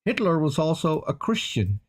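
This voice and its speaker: A man talks on a casual panel with a lively, wide-ranging pitch that swings up and down. He makes pointed arguments and keeps asking rhetorical questions. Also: normal speed, husky. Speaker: panel guest.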